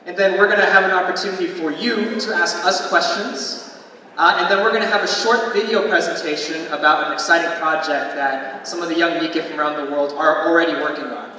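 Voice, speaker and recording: A man's voice: a little high, fairly even intonation, a little fast, pushed and bright, slight background noise. A young man moderating a panel in a big hall, polite and organized as he lays out the format. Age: young adult. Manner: organized and polite, giving instructions. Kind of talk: hosting a panel. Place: large conference hall. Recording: echoey hall from far off.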